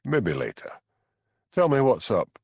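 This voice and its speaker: A man reading an audiobook, voicing a calm, plain-spoken village policeman with a Scottish Highland accent. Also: unhurried, husky, no room sound, heavily acted.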